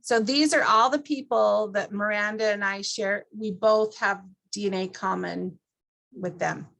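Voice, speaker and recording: A woman leads an informal walkthrough of a computer program. She speaks at an average pitch in a conversational, explanatory way. She takes her listeners through it step by step, as a class presenter does. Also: unhurried, vocal fry, a bit bright.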